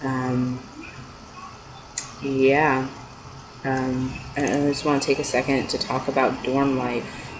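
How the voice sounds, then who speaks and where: A man's voice: completely flat delivery, slow pace, pauses often. Rambling young man, noisy home recording. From California, United States. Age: young adult. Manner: rambling, hesitant. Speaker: video blogger. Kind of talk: casual unscripted chat to camera. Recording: noisy low-quality amateur webcam-style audio.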